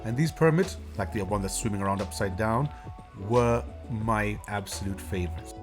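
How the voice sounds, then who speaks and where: A man, a travel vlogger, narrating a voice-over in a relaxed, informative way. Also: fairly low pitch, normal speed. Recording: voice-over with guitar music. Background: guitar music.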